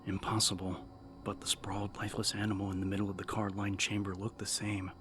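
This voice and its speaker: A man narrating dramatic fiction as an audiobook, with measured, suspenseful delivery. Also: fairly low pitch, completely flat delivery, noisy background.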